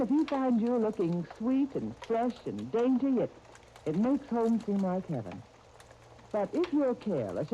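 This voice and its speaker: A woman's voice: mid-range voice, dark tone. A woman announcer reading a persuasive 1930s radio advertisement, coaxing and intimate in her direct address. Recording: dull, noisy 1939 radio disc.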